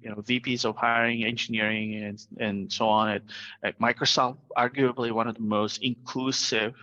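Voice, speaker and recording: A man's voice: mid-range voice, flat delivery, normal speed, pushed and bright. A middle-aged man from Vietnam, a startup founder, speaking earnestly as an interview guest over a video call and often adding "right?". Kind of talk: remote interview. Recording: dull, lo-fi call audio.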